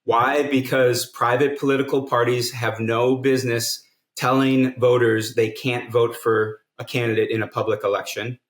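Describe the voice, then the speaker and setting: An earnest man walks through his reasoning one step at a time, mid-pitched and steady. His voice carries a noticeable roomy echo from an indoor space. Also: moderate pace. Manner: earnest, explanatory, builds arguments step by step. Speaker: guest, nonprofit co-founder. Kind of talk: podcast interview.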